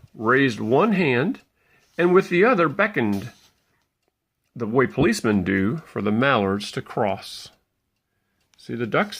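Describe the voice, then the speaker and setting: Gravelly, animated man reading a storybook. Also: medium pitch. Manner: playful, pausing to point things out. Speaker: parent reading a bedtime story aloud. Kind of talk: expressive storybook narration for young children.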